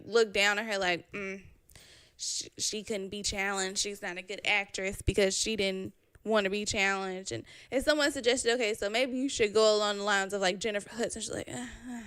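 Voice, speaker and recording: A woman's voice: mid-range voice, steady tone, moderate pace, a bit bright, clean tone, dry recording. A young woman guest on a casual podcast, blunt and sassy, swearing freely as she chats. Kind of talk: casual chat.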